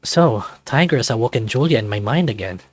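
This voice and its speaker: A man's voice: medium pitch, expressive, fairly quick, no room sound, close to an everyday voice. A man voicing a troubled, sarcastic young man in a visual novel, introspective and self-questioning. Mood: brooding. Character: troubled, sarcastic young man brooding over old feelings. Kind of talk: visual novel character read-aloud.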